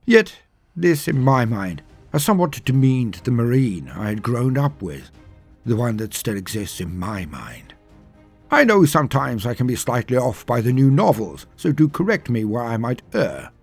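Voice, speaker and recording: Male lore narrator: husky, swooping dramatic delivery, emphatic and theatrical, light music bed. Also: medium pitch, very animated, moderate pace. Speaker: storyteller and lore channel host.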